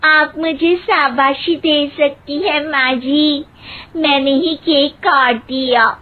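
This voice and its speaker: Woman acting as naive young village bride, high-pitched, very slow, cheerful, earnest, cartoon, phone-like sound. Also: steady tone. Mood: proud. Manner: innocent, literal-minded, earnest. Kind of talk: Hindi moral-story cartoon dialogue. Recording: muffled, narrow phone-like sound.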